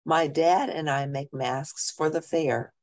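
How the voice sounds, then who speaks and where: A woman teacher reading a children's picture book aloud at a deliberate pace, pausing to ask her listeners questions. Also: sits low, steady tone, darkish tone, dry recording, studio quality.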